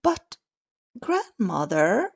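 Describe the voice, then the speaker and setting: A woman's voice: medium pitch, huge pitch swings, extremely slow, gravelly, lo-fi sound, exaggerated voice. A woman voicing a sweet, innocent little girl from a storybook, with a singsong, wide-eyed manner. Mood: innocent, puzzled.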